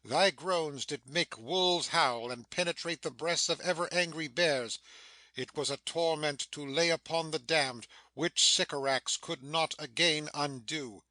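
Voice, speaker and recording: A man playing a stern, commanding sorcerer master in a dramatic Shakespeare reading, declamatory and quick to scold. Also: on the high side, sharp tone, no room sound. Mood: stern recounting. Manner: declamatory, imperious.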